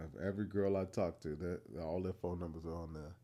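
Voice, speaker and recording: A man in his thirties with a Boston accent, co-hosting a podcast and telling stories in a playful, animated way. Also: sits low, fast talker. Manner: playful, animated storytelling, teasing. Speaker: podcast co-host. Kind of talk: casual chat.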